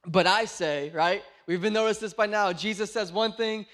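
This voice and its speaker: A young man in his late twenties preaches to his church with a high, light voice. He keeps it lively with jokes and playful asides, acting out little stories as he goes. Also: moderate pace. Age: 20s. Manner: joking, animated storytelling. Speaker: pastor. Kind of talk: sermon.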